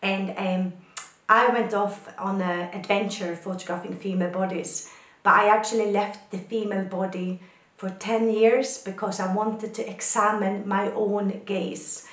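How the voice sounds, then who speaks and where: A woman's voice: medium pitch, level tone, unhurried, a bit bright, roomy sound. A woman from Sweden, a photographer, answering questions in a reflective personal talk with thoughtful, poetic phrasing.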